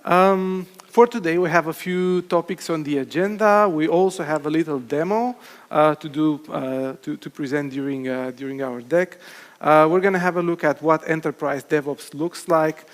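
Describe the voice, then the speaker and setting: A man's voice: a little high, steady tone, moderate pace. A man from Romania, a cloud solution architect, giving a conference talk with frequent ums and uhs. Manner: hesitant, many filler sounds. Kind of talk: conference presentation. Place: conference hall with audience. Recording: long hall reverb.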